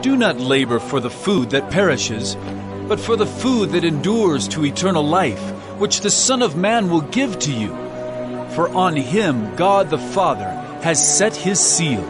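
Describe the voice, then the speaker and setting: A man plays a solemn, authoritative male teacher from a Bible audio drama. His voice is raised high and moves with lively, earnest emphasis. Music plays clearly behind him. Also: a little slow.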